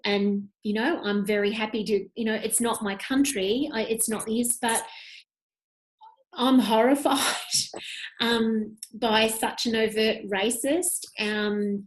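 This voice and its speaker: A woman in her thirties speaks as a podcast guest over a webcam call. Her voice sits a little high, and she stresses her points with strong, heartfelt emphasis. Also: steady tone, measured pace, poor audio. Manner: impassioned, emphatic. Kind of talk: conversational interview. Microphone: video call webcam.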